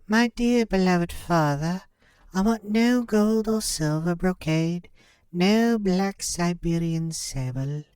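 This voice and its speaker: A man's voice: high voice, darkish tone, clear, resonant tone, dry recording, pitched above the performer's own voice. A man voicing a vain young maiden in a fairy tale, pleading in formal, archaic speech. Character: vain young maiden, merchant's second daughter. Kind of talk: storybook character voice.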